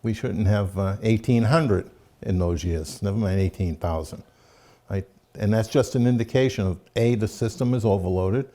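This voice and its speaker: A man's voice: on the low side, normal speed, mellow. An older man answering interview questions as an aviation expert, blunt and emphatic in unscripted speech.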